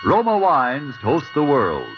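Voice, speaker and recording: A man's voice: on the high side, huge pitch swings, slow pace. A man announcing a scripted 1940s radio commercial in a polished, formal selling manner. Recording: muffled vintage 1940s radio recording. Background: music.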